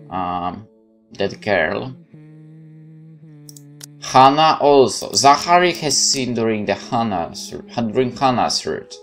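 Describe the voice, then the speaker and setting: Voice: mid-range voice, fairly bright tone. Let's player talking over game music. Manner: hesitant, thinking aloud with asides. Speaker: gaming video host. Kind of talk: casual let's play commentary, reading game text aloud.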